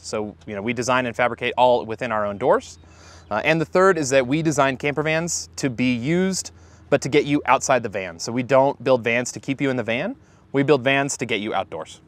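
A man in his thirties, a van company founder, talking casually to camera in an interview with easy fillers. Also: mid-range voice. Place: inside a camper van and outdoors by the van.

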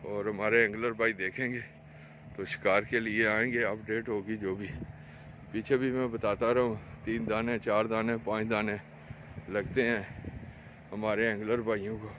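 A man with a Pakistani accent gives casual, repetitive vlog commentary as a fishing vlogger, pointing things out to viewers into a handheld phone outdoors. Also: sharp tone. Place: outdoors on an open road along a dam. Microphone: handheld phone camera, speaker behind it. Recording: noisy outdoor phone video, ambient rumble.

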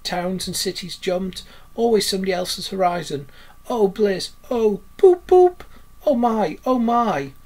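A man voicing a dreamy, rapturous, self-absorbed toad in a storybook, with drawn-out exclamations. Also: high-pitched, darkish tone, voice pushed up, heavily acted. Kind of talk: storybook character voice.

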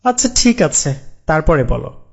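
A man's voice: fairly high pitch, sing-song, measured pace, creaky, background noise, heavily acted. A man voicing a skeptical cartoon companion who listens to a story, humouring the teller while teasing and interrupting. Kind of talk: animated cartoon dialogue. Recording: dull lo-fi audio under loud music. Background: music.

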